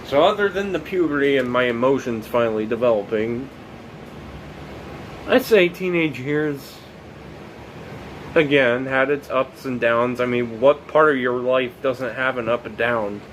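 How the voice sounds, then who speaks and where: A young man in his late teens talking casually about his life, rambling and halting, with many fillers and restarts. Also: medium pitch, a bit bright, slight background noise, rough recording. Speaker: documentary subject. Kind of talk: casual reflective monologue.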